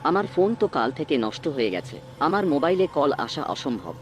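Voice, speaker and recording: A man's voice: a little high, sing-song, fast talker, slight background noise. A man playing a helpful, concerned younger brother in a horror cartoon. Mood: concerned, puzzled. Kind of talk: dubbed cartoon horror dialogue.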